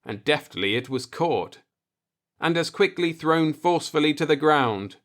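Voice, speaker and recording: A man's voice: fairly high pitch, expressive, a little slow. A man reading a science fiction story aloud as an audiobook narrator.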